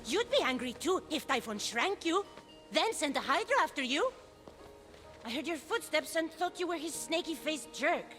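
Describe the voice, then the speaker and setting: A woman's voice: sits high, lively intonation, normal speed, a bit bright. A woman voicing a small, angry, bossy child goddess in a video game, feisty and imperious, with music behind her. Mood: irritated. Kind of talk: animated video game voice acting.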